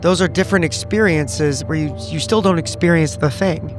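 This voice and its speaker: A man's voice: on the high side, moderate pace, music in the background. A man improvising in character in a tabletop roleplaying game, hesitant and often repeating his words.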